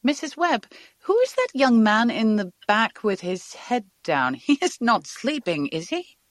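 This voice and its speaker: A woman's voice: a little high, big pitch swings, measured pace, no room sound, clean studio sound. An actress playing a poised, persuasive woman scientist, formal and polished, in an audio drama. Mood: gently firm.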